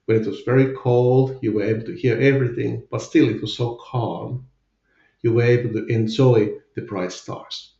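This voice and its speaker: A man's voice: fairly low pitch, dark tone, roomy sound, muffled recording. A man with a Finnish accent, a guest in a candid podcast interview, speaking hesitantly with many fillers. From Finland. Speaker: guest, company CFO.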